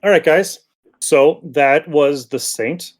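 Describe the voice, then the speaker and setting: A man's voice: on the high side, slow. A man hosting a podcast in casual chat, rambling a little as he thinks aloud. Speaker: podcast host.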